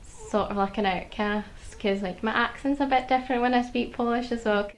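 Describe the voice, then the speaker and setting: A woman's voice: a little high, normal speed. Female student interviewee, earnest, faint noise.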